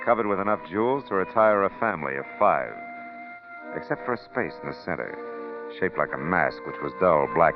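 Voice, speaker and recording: Hard-boiled American detective, deep voice, wry wisecracks, vintage muffled radio crime drama. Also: male voice. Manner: wry, clipped, tough-guy delivery. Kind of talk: 1940s-50s radio detective drama acting and narration. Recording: dull vintage radio recording with music.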